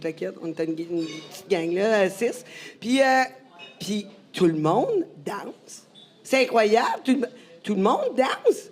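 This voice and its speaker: Québécois female host, mid-pitched, animated, code-switching. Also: slow. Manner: animated storyteller, switches into English phrases. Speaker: show host. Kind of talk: casual chat.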